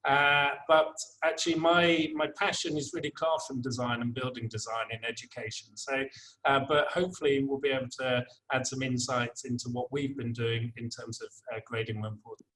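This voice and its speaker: A man's voice: mid-range voice, fairly even intonation, a bit bright, rough recording. Enthusiastic man on a panel. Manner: enthusiastic, rambling, halting. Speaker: school leader, panelist. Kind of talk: webinar panel remarks. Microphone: video call.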